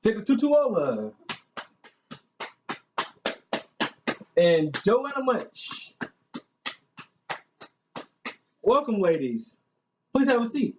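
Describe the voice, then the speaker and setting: A man's voice: sits high, big pitch swings, measured pace, a little mellow. A man playing a gushing, chatty talk show host in a staged amateur show. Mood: welcoming. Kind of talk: hosting a staged student-made talk show. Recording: echoey, muffled room recording with hiss.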